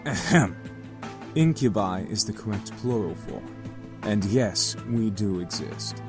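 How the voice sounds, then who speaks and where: A man plays a courteous demon gentleman who leads his brothers in a visual novel. His deep voice is precise and authoritative, with music clearly playing underneath. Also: lively intonation, a little slow. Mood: composed. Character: formal, polite leader of incubus brothers. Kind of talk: visual novel character acting.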